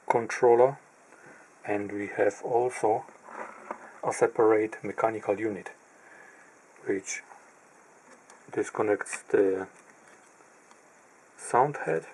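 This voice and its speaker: Slow, halting low-voiced man. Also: darkish tone, low-quality recording. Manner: halting, pauses mid-phrase while pointing at parts. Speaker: electronics repair hobbyist. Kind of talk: slow, methodical narrated teardown.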